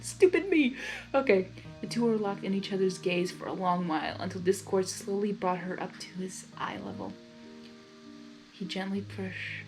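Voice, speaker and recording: A woman reading fan fiction aloud in an informal, excitable way, with casual reaction asides between the narration. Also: fairly low pitch, rough recording.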